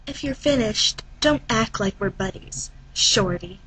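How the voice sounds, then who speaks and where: A woman's voice: on the high side, lively intonation, unhurried, a bit bright, a little hiss or hum, pitched below the performer's own voice, rough recording. Woman acting cool, aloof anime schoolgirl, curt. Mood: irritated, curt. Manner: curt, dismissive. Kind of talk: anime fan dub audition.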